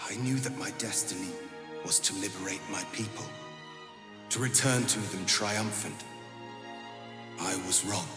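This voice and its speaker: A man voicing a regal, resolute alien prince in a video game, speaking gravely and formally. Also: fairly low pitch, measured pace, pauses often, natural voice. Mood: mournful. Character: solemn githyanki prince turned illithid, resolute and regal. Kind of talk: dramatic video game acting. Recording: echoey long reverb under loud music.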